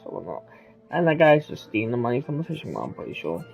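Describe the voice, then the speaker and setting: A man's voice: mid-range voice, sing-song, quick pace, vocal fry, highs cut off, soft background music. A man giving casual, rambling commentary while playing a game, with muttered asides. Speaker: gaming video host.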